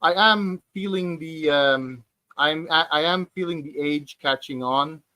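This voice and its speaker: A man of forty hosting a casual livestream chat from home, speaking hesitantly with many fillers and restarts and pausing to read out viewer comments. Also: a little high, bright, forceful tone. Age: 40s. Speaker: livestream host. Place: at home, sitting on the floor.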